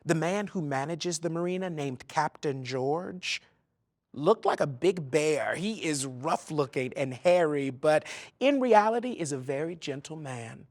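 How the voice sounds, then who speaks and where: A male actor reads a written letter aloud at a very slow pace. His manner is tender and story-like, with dramatic phrasing. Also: fairly high pitch, expressive.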